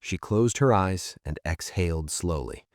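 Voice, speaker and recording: A man reading a scripted first-person story in a measured, dramatic way, in short phrases. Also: deep voice, animated, no room sound, clean studio sound.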